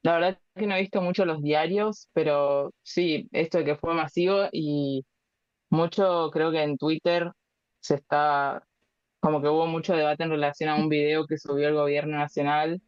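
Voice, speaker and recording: A woman in her twenties with an Argentine Spanish accent, a guest speaking casually and thoughtfully, with many pauses and fillers. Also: deep voice, steady tone, darkish tone, clear, resonant tone, no room sound, highs cut off. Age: 20s. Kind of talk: casual interview chat.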